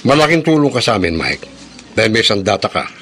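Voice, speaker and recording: A man's voice: on the low side, big pitch swings, a little fast, fairly bright tone, slight background noise. An actor playing a stubborn older man in a Filipino radio horror drama, firm and declamatory in his delivery. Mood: defiant, firm.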